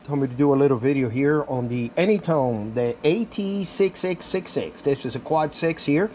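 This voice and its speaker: Casual higher-pitched man over clear background noise. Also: expressive, moderate pace, warm tone. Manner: informal, addresses viewers as guys. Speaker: radio reviewer and demonstrator. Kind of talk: casual unscripted product demo.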